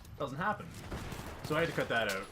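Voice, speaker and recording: A man's voice: mid-range voice, sing-song, quick pace, background noise. A young man giving rambling, self-deprecating voice-over commentary on his gameplay while fighting off a cough. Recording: game gunfire clearly heard behind. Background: game gunfire and ambience.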